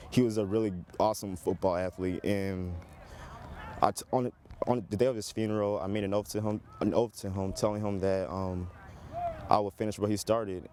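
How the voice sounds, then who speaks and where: A teenage man, a high school athlete, answers interview questions casually. His voice is on the low side, and outdoor street noise sits faintly behind him. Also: a little mellow. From born in Ivory Coast, Liberian family. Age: teens, high school senior. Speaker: high school football player being interviewed. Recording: clear outdoor traffic and ambient noise.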